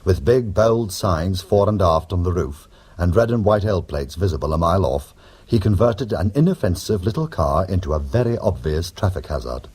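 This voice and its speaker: A man's voice: deep voice, expressive, normal speed, a little mellow, breathy edge. An actor playing a wry, urbane male criminal in a radio drama, speaking and narrating in a dry, sardonic, literary manner. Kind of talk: radio drama acting and first-person narration.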